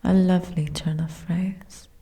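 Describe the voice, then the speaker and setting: A woman playing a gentle, refined, weary giantess princess in a fantasy ASMR roleplay, with soft, genteel, old-fashioned diction. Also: lowish voice, steady tone, a little slow, vocal fry. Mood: drowsy, hushed.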